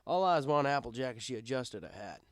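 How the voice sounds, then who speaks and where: A man's voice: mid-range voice, big pitch swings, fast, no echo, studio quality. A man reading a first-person story as scripted narration.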